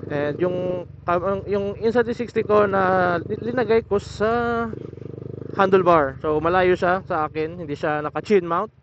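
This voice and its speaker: A young man vlogging while riding a motorcycle, explaining his setup in a casual, halting way with many restarts. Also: high-pitched, a little hiss or hum. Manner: hesitant, restarts words, explains step by step. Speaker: motovlogger. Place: on a motorcycle outdoors. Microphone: motorcycle helmet intercom over Bluetooth. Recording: muffled helmet intercom audio.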